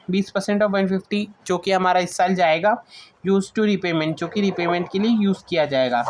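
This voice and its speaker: A woman's voice: medium pitch, noisy background. A woman with an Indian accent giving an informal lecture, explaining notes point by point and restating them as she mixes in English terms. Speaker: teacher.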